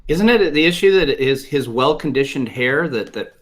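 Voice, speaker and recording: A man with a medium-pitched voice gives casual fantasy sports advice as a panelist. His delivery is halting, often doubling up words as he makes his point. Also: expressive. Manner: halting, repeats words emphatically.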